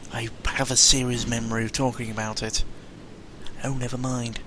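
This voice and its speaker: A man's voice: mid-range voice, little pitch movement, normal speed. A young British man giving a casual, sweary, rambling audio log in the manner of a gaming vlogger. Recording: clearly noisy amateur recording with ambient sound.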